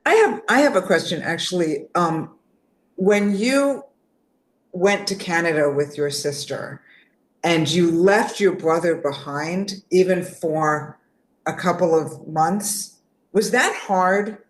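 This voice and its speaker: A woman's voice: fairly low pitch, takes it slowly. A woman hosting an online interview in a casual way, heard over a video call. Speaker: host and moderator. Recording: roomy echo on online call.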